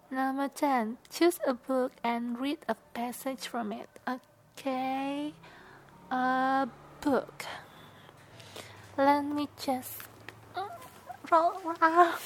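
Indonesian-accented woman vlogger, high-pitched and unhurried, soft whispery asides. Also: slight background noise, poor audio. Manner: soft-spoken, slips into whispered asides, giggly. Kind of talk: casual accent-tag vlog, reading questions aloud.

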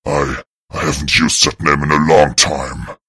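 Very deep, raspy ghoul donkey. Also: male voice, little pitch movement, a little slow, no room sound, clean studio sound, full character voice. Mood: angry, shaken. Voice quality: harsh, gravelly rasp. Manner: bitter, brooding, forceful. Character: embittered old male ghoul donkey, menacing and mournful. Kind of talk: audiobook character voice.

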